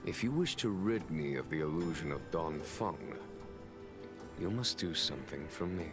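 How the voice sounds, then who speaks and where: An actor playing a calm, dignified general in a video game, speaking in measured, formal, wistful phrases. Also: male voice, extremely low pitch. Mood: measured, insistent. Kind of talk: scripted video game voice acting. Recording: game audio with loud background music.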